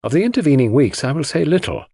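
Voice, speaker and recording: A man's voice: a little high, sing-song, fast, vocal fry, mellow, breathy edge, no room sound. A male narrator reads a first-person mystery story. His intonation moves a lot and his tone has some huskiness. The sound is very dry, with no room around it. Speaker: audiobook narrator.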